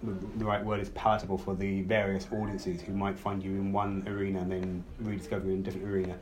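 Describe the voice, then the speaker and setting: A man's voice: low-pitched, flat delivery, fast talker, a little mellow, slight background noise, rough recording. A man hosting a podcast, asking long questions hesitantly while he searches for words. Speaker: podcast host. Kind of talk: interviewing.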